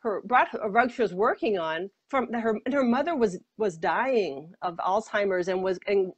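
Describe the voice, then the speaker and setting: A woman's voice: mid-range voice, lively intonation, normal speed, husky. An older American woman, a rug maker and author, telling stories in a live video interview over earphones. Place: home studio in front of a colorful rug. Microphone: earphone mic on a video call. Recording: dull lo-fi video call audio.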